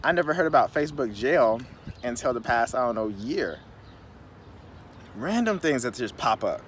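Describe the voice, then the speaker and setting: A man in his thirties talking casually outdoors on a city curb, rambling and conversational with animated asides. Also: mid-range voice, moderate pace. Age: 30s. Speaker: vlogger. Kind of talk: casual outdoor vlog monologue. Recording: faint street noise, wind on mic. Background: wind and passing traffic.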